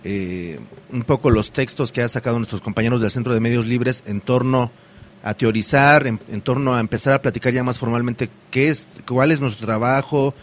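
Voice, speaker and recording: A man's voice: medium pitch, normal speed. A man hosting a community radio program, talking in a loose, hesitant way with many fillers and restarts.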